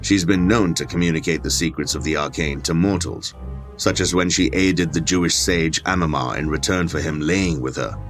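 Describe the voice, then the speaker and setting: A man's voice: very deep voice, normal speed, soft background music. An actor playing a learned, pedantic clergyman scholar in an audio drama, precise and dryly sardonic. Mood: sardonic, grave. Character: learned, condescending male occult scholar, clergyman. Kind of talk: dramatic audio fiction acting.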